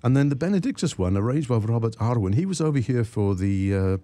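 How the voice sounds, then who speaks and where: A man who grew up in Wales hosts a radio interview, chatty and enthusiastic, steering the guest with leading questions. His pitch rises and falls freely as he talks. The voice is mellow and recorded dry and close. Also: expressive, quick pace, no room sound. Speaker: radio host.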